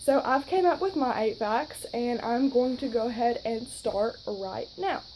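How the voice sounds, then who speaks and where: A young woman in her twenties with a Southern accent talks straight to her phone in a relaxed, rambling way, full of "you know" and "um". Her voice sits a little high but sounds mellow, with a faint hiss of noise under it. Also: unhurried, poor audio. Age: 20s. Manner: chatty, rambling, frequent fillers. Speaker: vlogger. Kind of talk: casual chat to camera.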